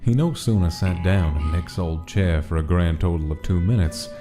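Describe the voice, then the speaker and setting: A low-pitched male actor as a storyteller narrates a dark mafia tale of monsters for a comic dub. His delivery is scripted and dramatic, with clear music underneath. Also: moderate pace, natural voice. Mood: measured, ominous.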